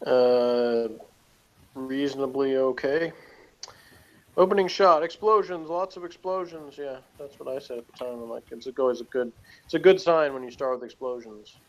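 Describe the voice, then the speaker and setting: A man's voice: medium pitch, normal speed, muffled recording. A man chatting casually as he reviews a TV episode, giving opinions with wry humour.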